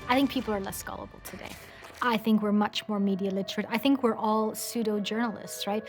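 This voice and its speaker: A woman's voice: medium pitch, steady tone, a little fast. A woman giving conversational interview commentary as a contributor to a documentary. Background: music.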